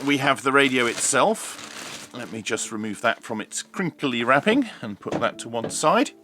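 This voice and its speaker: British man, lively swooping review delivery. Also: mid-range voice, normal speed, background noise. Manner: jokey, with tongue-in-cheek asides. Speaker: host of a radio review channel. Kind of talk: unboxing review narration.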